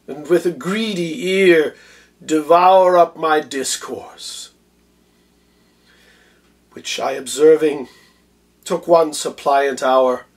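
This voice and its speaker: Guy doing a proud shakespeare general, kinda distant from the mic, slow stately speech that drops to a hush at phrase ends. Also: on the high side. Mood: tender, reflective. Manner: measured, stately, with long pauses and hushed phrase endings. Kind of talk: theatrical Shakespeare monologue recitation.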